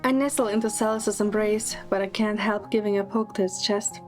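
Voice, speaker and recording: A woman's voice: mid-range voice, fairly even intonation, fairly quick, a little mellow, faint music behind. A woman narrating a scripted first-person romantic story in an audio drama.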